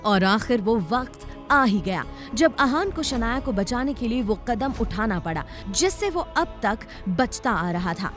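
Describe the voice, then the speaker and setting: A woman narrates a drama serial with dramatic flair. Her voice is fairly high and very animated, over a soft music bed. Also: measured pace. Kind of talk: dramatic scripted story narration.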